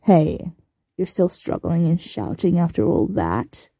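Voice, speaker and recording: A woman playing a possessive, smugly menacing mistress in an ASMR roleplay, breathy and often whispering, with a teasing, condescending coo. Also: on the low side, big pitch swings, mellow, no room sound, studio quality, exaggerated voice. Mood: stern, commanding. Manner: teasing, condescending, drawn-out cooing.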